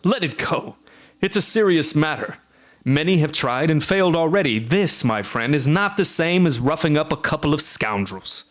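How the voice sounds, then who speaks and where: A man voicing a loud, gruff middle-aged castellan who speaks emphatically with sharp rises. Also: fairly high pitch, animated, normal speed, pitched above the performer's own voice, lightly acted. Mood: blustering. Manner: exclaiming, emphatic, rising.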